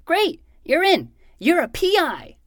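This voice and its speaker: A woman's voice: very high voice, sing-song, slow, no echo, studio quality, exaggerated voice. A woman playing an earnest, self-important twelve-year-old boy detective in a fiction podcast, speaking in a formal, theatrical way. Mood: excited.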